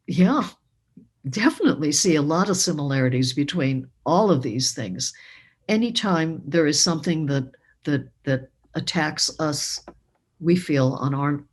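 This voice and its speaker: A woman answering as a panel speaker, reflective, halting and emphatic. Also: sits low, husky. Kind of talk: reflective answer to a moderator's question.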